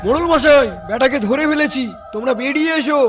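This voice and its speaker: A man's voice: extremely high pitch, quick pace, recorded from a distance, music in the background. A man voicing a jumpy, watchful village helper in a cartoon. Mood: watchful, excited. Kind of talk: Bengali cartoon dubbing.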